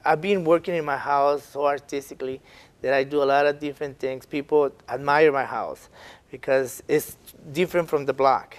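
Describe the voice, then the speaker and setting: Halting man with a Mexican accent. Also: mid-range voice. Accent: Mexican Spanish. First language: Spanish. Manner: halting, with many fillers and restarts. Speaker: guest, artist and dance instructor. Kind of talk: interview answers.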